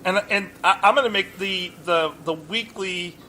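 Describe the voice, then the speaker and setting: A man's voice: sits high, moderate pace, sharp tone, a little hiss or hum. A man co-hosting a podcast, earnestly talking through a song with restarts. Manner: earnest, thinks aloud with restarts. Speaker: podcast co-host. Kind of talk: casual chat, music review.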